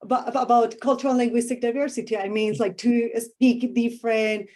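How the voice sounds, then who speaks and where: A woman's voice: a little high, steady tone, a little fast, a little mellow. A middle-aged woman with a Colombian Spanish accent, a professor answering interview questions thoughtfully and searching for words with many fillers.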